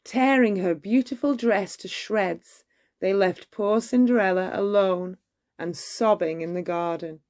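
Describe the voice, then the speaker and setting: A woman narrating a children's bedtime story in a measured, expressive storytelling manner. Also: mid-range voice. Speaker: storybook reader.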